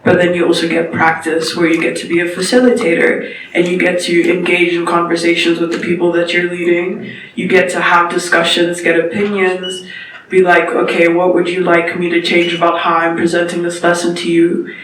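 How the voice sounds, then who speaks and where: A young man from South Africa speaks in a fairly high but flat voice, delivering an earnest presentation and slowing down to stress key points. He sits a little way from the mic in an echoey room, with a faint ambient hum behind him. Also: distant mic. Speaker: intern giving a presentation. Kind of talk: informal talk at a panel event.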